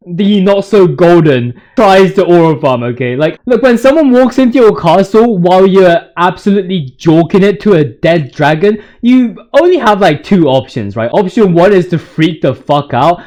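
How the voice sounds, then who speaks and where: High-pitched, hyped young man. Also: a little mellow. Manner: hyped, slangy, rambling. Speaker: video host. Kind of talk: casual video game commentary.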